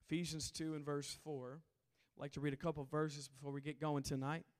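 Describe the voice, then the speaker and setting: A hoarse man preaching to a camp crowd in a large hall, calling for responses from the audience. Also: fairly high pitch, flat delivery, a little fast. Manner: call-and-response, coaxing the crowd. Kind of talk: sermon to a youth camp crowd. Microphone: handheld stage mic.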